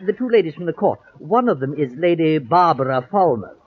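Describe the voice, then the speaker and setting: An actor playing a corrupt, gleefully scheming colonial governor in an old-time radio drama. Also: male voice, fairly high pitch, animated, a little fast, a little hiss or hum, natural voice. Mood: conspiratorial. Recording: muffled vintage radio recording.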